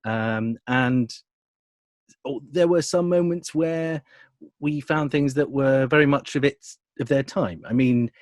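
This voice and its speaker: A man's voice: mid-range voice, takes it slowly, no room sound. British man explaining in a dry studio sound. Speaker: radio drama adapter and producer being interviewed. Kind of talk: conversational interview answers.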